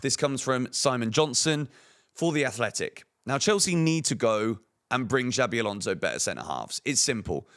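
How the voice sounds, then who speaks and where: A man with a croaky, hoarse voice, giving opinionated football transfer news commentary on a fan channel, full of emphatic rhetorical questions. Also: mid-range voice, a bit bright.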